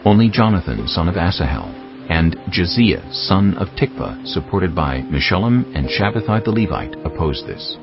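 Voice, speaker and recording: A man narrating scripture from a script in a measured, solemn way, as in a dramatized audiobook. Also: low-pitched, very animated. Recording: dull lo-fi audio, music behind. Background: clear background music.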